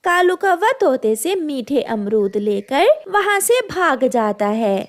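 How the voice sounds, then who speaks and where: A woman storyteller reading scripted narration for an animated children's tale. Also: high voice, slow pace.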